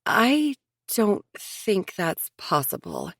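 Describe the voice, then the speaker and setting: A woman's voice: fairly low pitch, normal speed, dry recording. A woman voicing a wary young widow who chooses her words carefully and answers with a dry tone. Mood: cautious. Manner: dry, cautious. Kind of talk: audiobook character voice.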